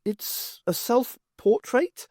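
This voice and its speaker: Narrator as self-important male painter, lively delivery. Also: medium pitch, extremely slow, slightly rough, no echo, studio quality, voice pushed up, exaggerated voice. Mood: curious.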